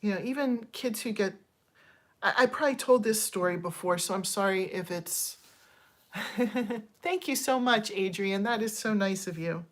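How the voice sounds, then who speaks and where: A woman's voice: medium pitch, a little slow. A woman in her fifties chatting casually on a livestream, digressing often and thanking viewers as she airs candid, frustrated complaints with plenty of ums. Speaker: channel host.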